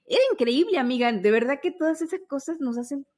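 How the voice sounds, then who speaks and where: A woman's voice: sits high, lively intonation, quick pace, a little mellow. A woman in her fifties hosting a podcast, chatting casually and nostalgically in an enthusiastic storytelling way. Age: 50s. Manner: enthusiastic, storytelling with spoken sound imitations. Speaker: podcast host.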